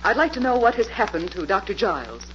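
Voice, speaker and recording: A dull, faintly noisy vintage radio recording of an actress playing a principled young lady of the laboratory. Her voice swings with dramatic feeling, earnest and reproachful, firm even through fear, in old radio-play style. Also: medium pitch, fast, natural voice. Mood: grave, firm. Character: indignant, principled female laboratory assistant, frightened but firm. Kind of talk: 1940s radio drama acting.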